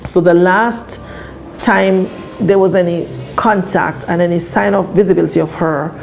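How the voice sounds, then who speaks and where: A woman's voice: fairly low pitch, fairly even intonation, normal speed, creaky, darkish tone. A woman with a Jamaican accent speaking as a police spokesperson, explaining things formally in an interview. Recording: faint music behind the voice.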